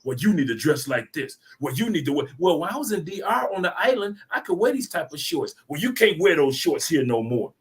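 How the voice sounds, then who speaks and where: Man, 50s, preachy and emphatic, slightly higher voice, hammers points home by repeating them. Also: very animated, fairly quick, few pauses. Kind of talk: passionate call-in discussion.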